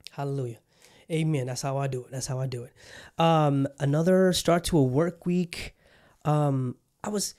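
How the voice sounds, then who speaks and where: A man's voice: fairly high pitch, warm tone. A man hosting his own podcast in a casual solo chat, upbeat and rambling, often repeating his phrases. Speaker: podcast host.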